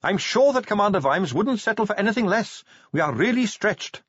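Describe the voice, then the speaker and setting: An earnest, polite young captain of the watch, bright-voiced and eager to explain, as a man puts him on. His voice rises and falls freely, and the read sounds slightly dull. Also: high-pitched, lively intonation, a little fast, husky, dry recording, voice pushed up, full character voice.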